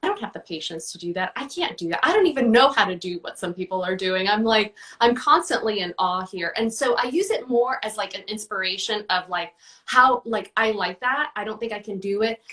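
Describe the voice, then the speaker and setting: A woman's voice: medium pitch, expressive, brisk, fairly bright tone, far from the mic. A woman answering interview questions in a casual, chatty way, with many fillers and restarts. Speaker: guest, book reviewer.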